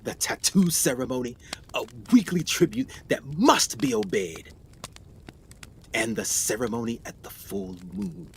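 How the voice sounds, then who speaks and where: An actor playing a haunted, educated explorer doctor in a radio drama, speaking formally and theatrically with grave anxiety. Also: male voice, medium pitch, expressive, unhurried, a little hiss or hum. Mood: haunted, hushed. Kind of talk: dramatic 1920s adventure radio acting.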